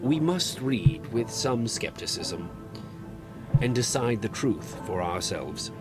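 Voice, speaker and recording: A man playing a grave, sceptical fairy-tale storyteller, narrating formally and solemnly in an animated series. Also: medium pitch, slow, loud music behind the voice.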